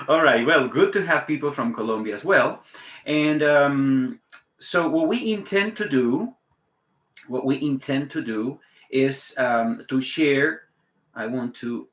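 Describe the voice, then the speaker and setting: A man's voice: medium pitch, fairly bright tone. A man in his fifties from Argentina, a native Spanish speaker, chatting informally and hesitantly as a guest speaker on a webinar. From Patagonia, Argentina. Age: 50s. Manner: chatty, hesitant, conversational asides. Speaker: guest speaker, teacher trainer. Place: indoors on a video call. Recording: roomy, echoey webinar audio.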